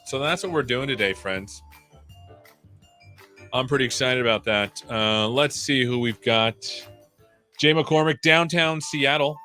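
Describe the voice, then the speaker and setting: A man's voice: medium pitch, a little slow, long pauses, bright, forceful tone, faint music behind. A man hosting a casual livestream, rambling playfully with jokey asides. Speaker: live show host. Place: own room at home.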